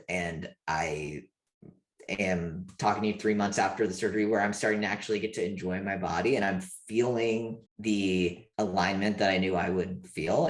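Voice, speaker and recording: A man of around thirty talking informally and candidly as an interview guest, often dropping in "like". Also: low-pitched. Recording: roomy, echoey sound.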